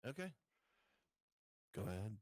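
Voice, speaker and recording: A man's voice: lowish voice, sing-song, measured pace, darkish tone, dry recording. A man playing a tabletop roleplaying game, bantering casually with joking exasperation.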